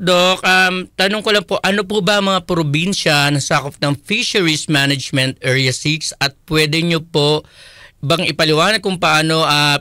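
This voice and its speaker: A man co-hosts a radio interview conversationally. His voice sits slightly high and sounds bright, recorded dry and close. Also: clear, resonant tone, dry recording. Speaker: radio co-host.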